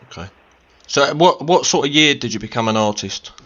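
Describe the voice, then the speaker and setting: A man's voice: mid-range voice, animated, normal speed, a bit bright, dull audio. A man hosting a radio interview, asking informal questions and stumbling over his words a little. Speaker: radio show host.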